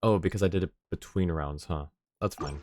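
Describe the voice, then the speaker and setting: A man's voice: deep voice, brisk, heavy background noise. A man giving casual gameplay commentary as a livestreamer, muttering to himself. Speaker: game livestreamer. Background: game music.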